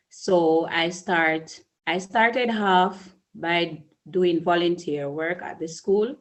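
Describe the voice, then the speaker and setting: A middle-aged woman with a Jamaican accent speaks slowly and deliberately in a low voice. She answers earnestly, pausing and restarting as she works through her thoughts, like a school principal being interviewed. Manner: slow, measured, earnest with restarts. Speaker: early childhood school principal.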